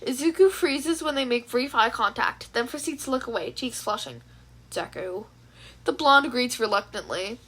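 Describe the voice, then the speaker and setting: A woman's voice: a little high, expressive, moderate pace, hardly any pauses, fairly bright tone. A woman reading a story aloud as scripted audiobook-style narration. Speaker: fan fiction narrator.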